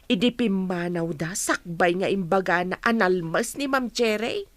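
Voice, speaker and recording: A woman's voice: mid-range voice, normal speed, fairly bright tone, no room sound, lightly acted. A woman playing a cautious, questioning village mother in a radio drama. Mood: concerned. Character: wary village mother advising her family. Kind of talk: Ilocano radio drama acting.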